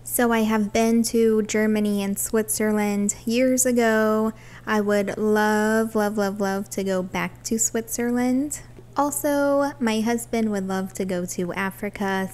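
Woman, 30s, from Florida, chatty and upbeat, mid-pitched voice, home vlog, phone camera across the room, faint background hum. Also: steady tone, a little slow, darkish tone. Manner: cheerful, chatty, laughs often. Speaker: vlogger. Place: home bathroom, indoors.

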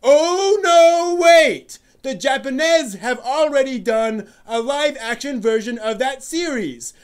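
A man's voice: very high voice, takes it slowly, clean tone, voice pushed up. A man voicing an exasperated male anime fan who rants melodramatically in a comedic dramatic reading. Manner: melodramatic ranting, exaggerated sighs and groans.

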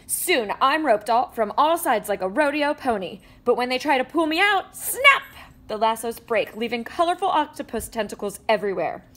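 A woman reading a children's picture book aloud with animated storytelling and playful sound effects. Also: fairly high pitch, normal speed. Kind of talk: expressive read-aloud. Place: indoors at a table with a book.

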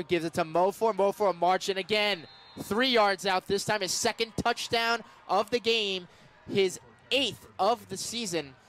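Male radio play-by-play announcer, high-pitched, excitable football caller who draws out the big moments. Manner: excitable, shouts and stretches words on big plays. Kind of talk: live football play-by-play commentary. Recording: live radio sports broadcast.

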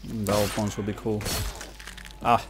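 A man's voice: on the low side, flat delivery, moderate pace. A man giving casual gameplay commentary, muttering to himself as he plays. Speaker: gaming video creator. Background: video game music and sounds.

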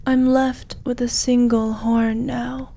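A woman voicing a gentle, seductive dragon lady in a fantasy ASMR roleplay, soft, breathy and half-whispered, with an intimate, tender manner. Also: a little high, level tone, takes it slowly. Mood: wounded, sorrowful.

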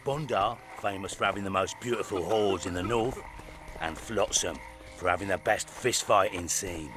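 An actor playing a boastful, scheming fight promoter in a video game, with a grandiose way of talking. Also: male voice, sits low, normal speed, background noise, lightly acted. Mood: sly, pitching. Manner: grandiose, speaks of himself in third person.

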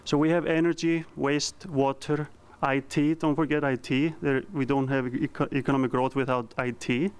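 A man in his thirties from Iceland with an Icelandic accent, giving a conference presentation with a handheld mic, earnest and halting with frequent ums. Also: mid-range voice, flat delivery, clean tone. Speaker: company founder giving a presentation. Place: conference room.

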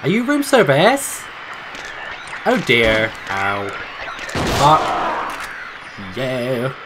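A man's voice: medium pitch, wildly swooping pitch, extremely slow, very noisy. A man co-hosting a let's play, joking, swearing and mocking himself. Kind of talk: casual gameplay commentary.

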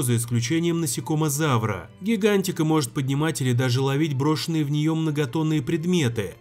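A man's voice: mid-range voice, darkish tone. Man narrating over music in casual style. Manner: colloquial, informal storytelling. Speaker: host and narrator of a cartoon explainer video. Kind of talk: scripted narration with casual slang. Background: background music.

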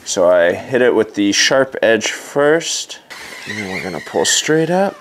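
A young man with a lowish voice walks through his screen printing prep in a casual vlog. He talks easily and explains each step as he goes, clipped with a lapel mic in a large workshop. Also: big pitch swings, measured pace, a little hiss or hum. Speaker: screen printing shop owner. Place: large workshop with wooden floors.

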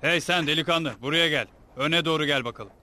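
Man acting a high-pitched, stern soldier captain. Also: fairly quick, sharp tone. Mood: menacing. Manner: barking orders, accusing. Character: stern commanding Egyptian soldier captain arresting travellers. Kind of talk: cartoon dub acting. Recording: dramatic music behind the dialogue.

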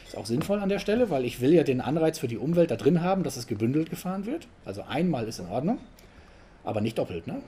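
A middle-aged man giving a casual, conversational talk to an audience at an event, often ending points with a quick tag question. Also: medium pitch. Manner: conversational, frequent tag questions, animated emphasis. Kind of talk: informal conference talk.

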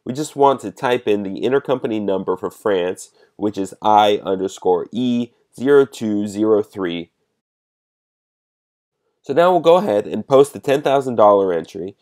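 A man with a fairly low voice narrates a software demonstration. He explains each step in a measured, instructional way, like a presenter guiding users through a program. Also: expressive.

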